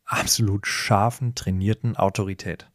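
A man's voice: fairly low pitch, steady tone, normal speed. A man in a conversational podcast discussion, explaining things with emphatic phrasing. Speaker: podcast co-host.

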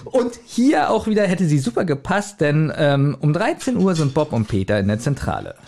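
A man hosting a casual podcast, retelling a story scene by scene in a halting, chuckling manner. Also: fairly high pitch, big pitch swings, vocal fry, a little mellow. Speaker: podcast host retelling an audio drama. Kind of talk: casual scene-by-scene recap among friends.

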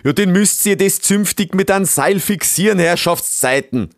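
A gruff, eccentric beaver inventor from an animal storybook. He speaks broad Bavarian and blusters like an exasperated boss, his pitch swooping widely in a dry, close recording. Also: sing-song, fairly quick, dry recording. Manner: blustering, scolding, dialect-heavy. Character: gruff, bossy, eccentric old beaver scientist, easily exasperated.

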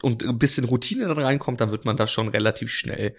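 A man's voice: mid-range voice, fairly quick. Chatty man rambling with fillers. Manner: rambling, many fillers and restarts. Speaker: drinks brand ambassador, guest. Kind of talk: casual interview chat.

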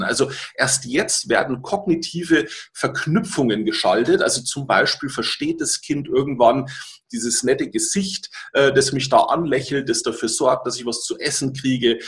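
A man's voice: mid-range voice, lively intonation, moderate pace, a bit bright. A man, a native German speaker, presenting an explanatory webinar as a language-learning coach in a didactic way, repeating key words and checking in with listeners.

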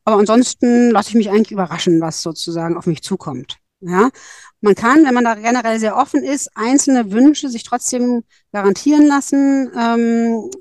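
A woman's voice: on the high side, normal speed, darkish tone, no echo, clean studio sound. A woman advising on school exchange programs, explaining in thoughtful, careful podcast talk with frequent fillers.

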